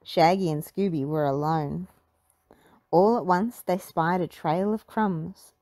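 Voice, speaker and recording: A woman narrating a children's storybook in an animated read-aloud style. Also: fairly low pitch, slow pace, dry recording, clean studio sound.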